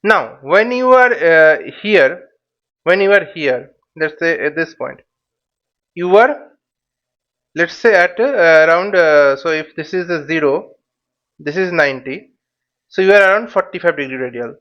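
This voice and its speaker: A man giving an explanatory tutorial as an instructor, thinking aloud in a halting, repetitive way and often checking in with short tags. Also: on the high side, expressive, fairly bright tone, highs cut off. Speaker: flight simulation instructor.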